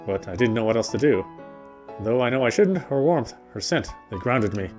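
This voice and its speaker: A man's voice: medium pitch, expressive, normal speed, darkish tone. A man narrating a visual novel aloud in a dramatic storytelling style as he plays. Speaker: gamer reading the game text aloud. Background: faint game music.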